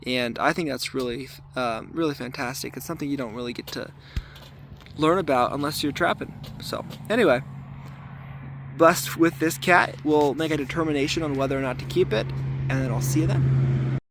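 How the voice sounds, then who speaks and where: A man with a somewhat higher voice, raspy today, speaks in an easygoing, thoughtful way to a handheld camera out in the open. He fills his pauses with ums and restarts. Faint outdoor ambience sits behind him. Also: a little hiss or hum. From southern Arizona. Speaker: trapper filming his trapline. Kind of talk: casual outdoor vlog narration. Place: outdoors in desert washes.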